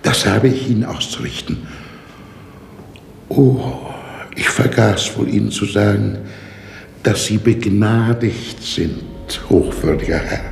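An actor playing a dry, mocking male jailer in a 1960s radio drama, ironic and unhurried. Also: on the low side, gravelly, reverberant, in a large hall, slight background noise, heavily acted.

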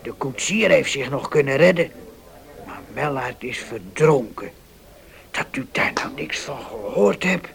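A chatty, concerned acquaintance in a radio drama. He talks earnestly in a medium-pitched voice with a marked creak. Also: animated, a little slow, fairly bright tone. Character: chatty, concerned acquaintance bearing grim news. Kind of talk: radio play acting.

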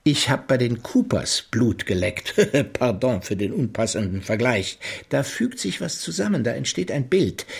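An actor playing a middle-aged senior police inspector in a German radio crime drama, polite, measured and gently ironic. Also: male voice, medium pitch, lively intonation, creaky, breathy edge. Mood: wry. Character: senior police inspector, courteous, wry and probing. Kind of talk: German radio play acting.

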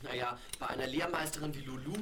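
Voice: mid-range voice, fast, a crowd in the background, close to an everyday voice. An actor playing a good-humoured, teasing boy in a 1970s German children's radio play. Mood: amused, teasing.

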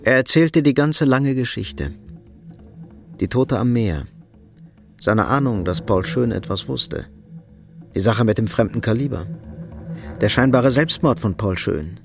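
A man's voice: medium pitch, animated, a little slow, gravelly, pauses often. Male narrator, mid-range voice, scripted literary storytelling, radio drama, faint music behind.

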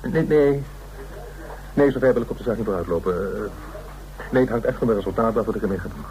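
A man's voice: mid-range voice, fast talker, a little mellow, lightly acted, poor audio. A man playing a flustered, easily offended legal adviser in a radio play, hesitant and stammering. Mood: indignant, amused. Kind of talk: naturalistic Dutch radio play acting. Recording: radio play recording with faint noise.

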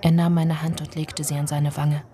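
A woman narrating a literary radio drama in the first person, in measured, deliberate phrases. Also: low-pitched, flat delivery, warm tone. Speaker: actor narrating.

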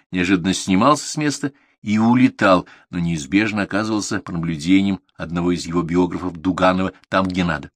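A man with a very low voice delivers a slow, essay-like lecture. His reading is measured and emphatic, and his pitch leaps on key words. The sound is dry and close, with no room around it. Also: no echo.

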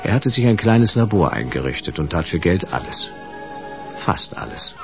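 Low-voiced, laconic hard-boiled detective. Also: male voice, sing-song, moderate pace. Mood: wry noir narration. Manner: laconic, dry, sardonic. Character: hard-boiled male private detective, wry and unruffled. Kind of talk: radio play dialogue and noir first-person narration. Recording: radio play with music underneath.

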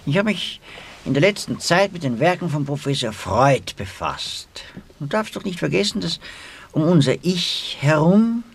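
Husky, swooping, pedantic intellectual man. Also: on the high side, very animated, exaggerated voice. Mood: earnest, lecturing. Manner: pedantic, halting, emphatic lecturing. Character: earnest, long-winded socialist intellectual quoting psychoanalysis. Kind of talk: radio drama acting.

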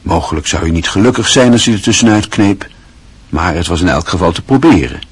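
Low husky guy reading a radio play story, calm and measured. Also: no room sound. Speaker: narrator. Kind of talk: scripted literary narration for a radio play.

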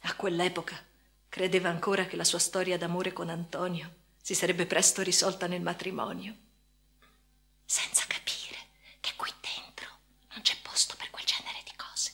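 A woman's voice: lowish voice, level tone, moderate pace, vocal fry, sharp tone. An actress playing a haunted woman patient in a first-person radio drama monologue, speaking intimately and often dropping toward a whisper. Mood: haunted, recollecting. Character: haunted woman patient recounting institutional life. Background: music.

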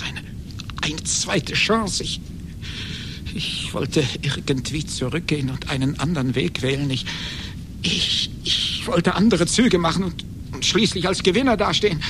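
An actor in a radio play playing a vengeful, obsessed genius inventor who speaks haltingly and theatrically. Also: male voice, high-pitched, noisy background. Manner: halting, theatrical, repeats words for emphasis.

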